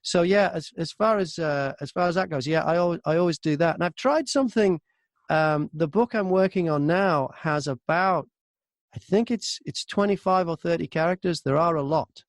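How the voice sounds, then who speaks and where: Higher-pitched man, dry studio sound, chatty. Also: normal speed, no echo. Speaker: voice-over artist and audiobook narrator, interview guest. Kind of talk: casual conversational anecdotes. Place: very small closet converted to a studio.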